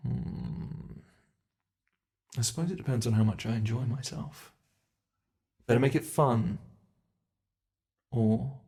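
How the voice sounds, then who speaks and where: An ancient monster , acted out by a man, toys sadistically with human prey in an ASMR roleplay. The voice creaks with fry and takes its time over every threat. The delivery is theatrical and teasing, dropping into breathy, menacing whispers. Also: mid-range voice, a little mellow. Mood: gleeful menace. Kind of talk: ASMR roleplay, scripted monologue.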